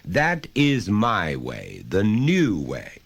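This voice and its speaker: A man's voice: on the low side, wildly swooping pitch, drawn-out words, vocal fry, breathy edge, voice pushed up, exaggerated voice. A man voicing an overbearing, falsely jovial middle-aged father in a short story, with a precise, pompous, lecturing manner. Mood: lecturing, stern.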